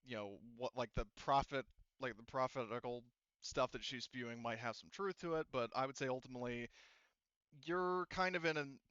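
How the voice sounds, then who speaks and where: A man acting as game master, narrating a tabletop roleplaying game casually and haltingly, thinking aloud. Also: mid-range voice, a bit bright, clear, resonant tone, no room sound, muffled recording.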